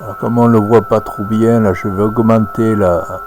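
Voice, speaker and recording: A man giving an informal live demonstration, talking haltingly as he thinks aloud through each step. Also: lowish voice, steady tone, over music. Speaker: presenter demonstrating amateur radio software.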